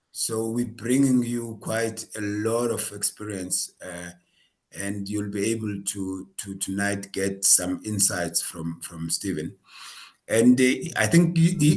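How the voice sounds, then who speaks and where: Man with a low voice, hesitant webinar host. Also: a little mellow. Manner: hesitant, many fillers and restarts. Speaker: association representative introducing the speaker. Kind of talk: webinar introduction. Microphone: video call.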